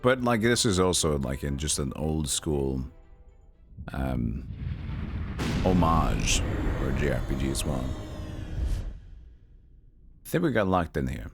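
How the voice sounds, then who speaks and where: A man's voice: a bass voice, big pitch swings, slow pace, long pauses, soft background music. A man giving casual live commentary as a game streamer, musing in a rambling way.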